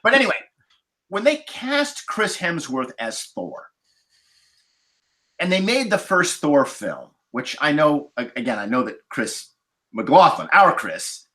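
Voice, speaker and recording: A man in a casual roundtable discussion, laying out his points as a podcast panelist in deliberate, repeated phrases. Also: on the high side, very animated, pushed and bright. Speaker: podcast panelist reviewing films.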